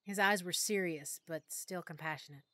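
A woman narrating an audiobook in the first person, quietly and with emotion. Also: lowish voice, normal speed, dry recording.

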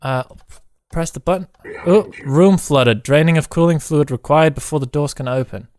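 A man's voice: on the high side, moderate pace, darkish tone, no echo, clean studio sound. A man giving casual gaming commentary to a co-player, often chuckling as he talks. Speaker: video game player. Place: indoor voice-over close on mic during gameplay.

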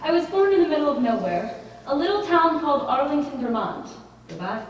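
Woman playing a sassy high-voiced young dancer. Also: sing-song, brisk. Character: sassy young female dancer from small town. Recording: echoey theatre, distant, faint noise.

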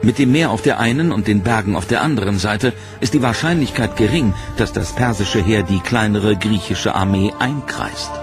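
A man giving scripted documentary narration in a measured, dramatic storytelling manner. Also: lowish voice. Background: loud dramatic music.

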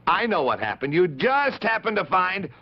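A man's voice: high-pitched, sing-song, moderate pace, muffled recording, a little hiss or hum. A man in his thirties hosting a children's puppet show, bantering in an emphatic, insistent, theatrical way. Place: television studio puppet set.

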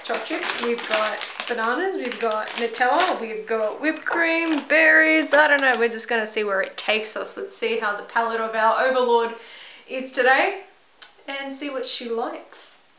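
A woman's voice: on the high side, measured pace, bright, forceful tone. A woman in her twenties with an Australian accent, giving a casual, joking, improvised cooking demonstration. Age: 20s. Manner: joking, offhand. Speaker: cook in a how-to video. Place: small home kitchen, indoors. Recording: noisy echoey kitchen, clattering dishes.

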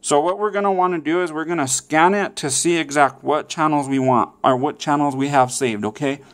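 A man with a fairly high, clean and smooth voice walks through instructions step by step. His delivery is casual and informal, and he keeps pausing to check in as he teaches. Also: a bit bright. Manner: repeatedly checks in with "okay" and "all right". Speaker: tutorial presenter.

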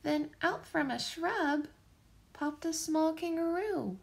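A woman reading a picture book aloud for young children with expressive, deliberate phrasing. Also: extremely high pitch, gravelly. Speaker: children's story reader, teacher.

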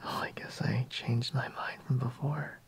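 A man playing a sleepy, intimate boyfriend in a whispered ASMR roleplay, murmuring haltingly in a breathy, husky voice. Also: medium pitch, flat delivery, heavily acted. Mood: breathy, coaxing.

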